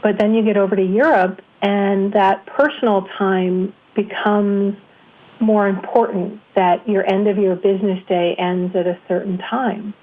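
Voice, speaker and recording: A woman's voice: mid-range voice, steady tone, unhurried. A woman with an American accent hosting a conversational interview. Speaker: interview show host. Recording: thin phone-line sound.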